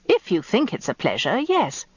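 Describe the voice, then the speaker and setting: A woman playing a brisk, tart older wife in a radio drama, answering with crisp, firm retorts. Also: medium pitch, huge pitch swings, no echo, dull audio. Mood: cool.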